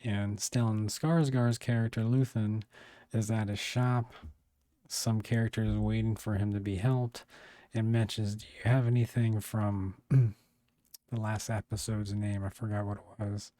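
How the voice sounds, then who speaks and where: Man, hoarse, clearing throat, rambling review, mellow tone. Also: on the low side, flat delivery, unhurried, no room sound. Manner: rambling, many fillers and restarts. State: losing his voice, clearing throat. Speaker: video reviewer. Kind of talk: casual video review.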